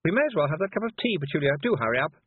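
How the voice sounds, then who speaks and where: A man's voice: on the high side, big pitch swings, racing, husky, dry recording, voice pushed up. A man voicing a bossy, haughty, impatient teenage girl witch in a storybook reading, scolding and condescending. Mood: haughty, superior. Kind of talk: audiobook character voice. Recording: muffled narrow-band phone-like sound.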